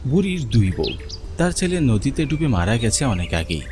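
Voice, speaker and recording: Expressive male storyteller. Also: mid-range voice, brisk, faint music behind. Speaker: story narrator. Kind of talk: scripted fairy-tale narration.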